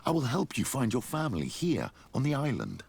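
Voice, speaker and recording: A man's voice: medium pitch, huge pitch swings, brisk, darkish tone, full character voice. An actor playing a sly, ambitious old clergyman burdened by guilt, speaking formally and theatrically in a video game. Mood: agitated. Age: older. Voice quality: gravelly, husky. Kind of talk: video game cutscene acting.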